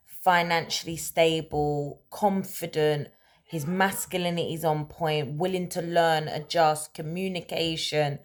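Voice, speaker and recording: A woman telling a story in a casual, conversational way, animated and emphatic, often checking in with rhetorical questions. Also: low voice. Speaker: storyteller, host of a story-time series.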